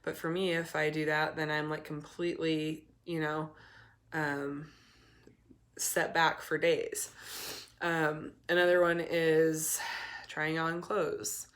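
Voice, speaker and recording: A young adult woman, hoarse and raspy from a cold, talking candidly to camera as a recovery coach, with many ums and restarts. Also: low voice, little pitch movement, slow pace, a bit bright. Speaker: eating disorder recovery coach. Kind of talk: casual talk to camera.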